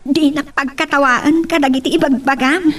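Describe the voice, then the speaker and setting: A woman's voice: high voice, steady tone, moderate pace, fairly bright tone. A woman voice-acting a coy, puzzled young woman being courted in an Ilocano love drama.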